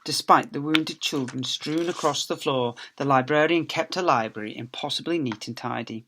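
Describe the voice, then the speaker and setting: A man's voice: medium pitch, normal speed, fairly bright tone, slight background noise. A man, a teacher reading aloud, tells a children's story expressively, with theatrical emphasis and phrases that drop away at the end.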